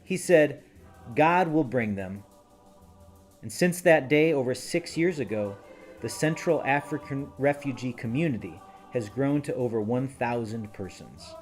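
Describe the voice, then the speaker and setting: A man reading scripted promotional narration in a measured, appealing tone. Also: medium pitch, animated. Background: soft music.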